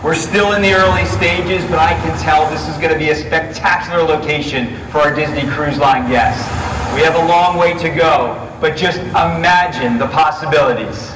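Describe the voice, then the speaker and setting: Male promotional video presenter, heard from far off through hall speakers with clear background noise. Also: fairly high pitch, moderate pace. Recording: video played over hall speakers, echoey, distant, noisy.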